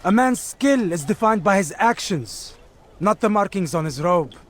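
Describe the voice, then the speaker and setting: High-voiced, condescending assassin superior. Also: male voice, animated, a bit bright, slight background noise. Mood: disdainful, admonishing. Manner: condescending, measured, admonishing. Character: stern, disdainful male superior lecturing a novice assassin. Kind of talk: dramatic video game dialogue.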